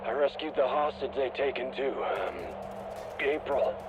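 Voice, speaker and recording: Game role: dying field agent, weary, selfless, dragging pace, on a crackly narrow radio link. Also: male voice, medium pitch, music in the background. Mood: weakly proud. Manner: halting, weary, weakened speech. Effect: radio transmission. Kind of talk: dramatic acting in a video game audio log. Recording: narrow, noisy radio-filtered sound.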